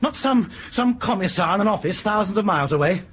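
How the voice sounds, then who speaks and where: An actor with a British accent playing an indignant, stubborn airline captain in a radio drama, blunt and exasperated in manner. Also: male voice, high voice, expressive, fast, fairly bright tone, slight background noise. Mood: defiant.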